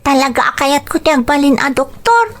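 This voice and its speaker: Eager young schoolgirl, very high voice, swooping intonation, Ilocano accent, loving, radio drama. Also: very animated, moderate pace. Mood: eager, affectionate.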